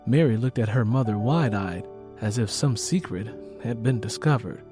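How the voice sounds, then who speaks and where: A man's voice: lowish voice, moderate pace. A man with an American accent reading dramatic scripted audiobook narration. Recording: rain and music behind the voice.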